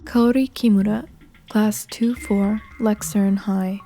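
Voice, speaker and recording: A woman reading scripted narration for a horror game in a measured, sombre, matter-of-fact manner. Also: medium pitch, steady tone, warm tone, faint music behind.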